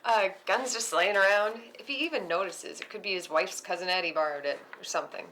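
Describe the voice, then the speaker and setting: With faint noise around her, an actress plays a bright, forceful woman with a teasing, reckless streak. The delivery is natural and conversational, as at a table read of a film. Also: lowish voice, brisk. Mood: breezy, mischievous. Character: Penny, reckless, teasing woman, restless, craving connection. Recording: faintly noisy live room recording.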